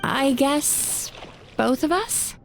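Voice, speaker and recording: Earnest, high-voiced young woman. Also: animated, extremely slow, gravelly, slight background noise, full character voice. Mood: flustered, wary. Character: earnest, caring young woman, frank and quick to help. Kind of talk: video game voice acting.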